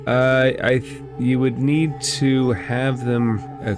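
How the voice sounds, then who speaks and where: A man's voice: medium pitch, level tone, slow, over music. A man acting as game master, narrating and explaining rules in a conversational way during a tabletop role-playing game.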